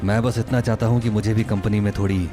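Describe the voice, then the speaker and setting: An actor playing a smug, spiteful rich cousin in an audio drama, menacing and mocking under a false gentleness. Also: male voice, fairly low pitch, steady tone, quick pace, lightly acted. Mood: falsely conciliatory. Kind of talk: Hindi audio drama acting. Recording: dramatic music behind the voice.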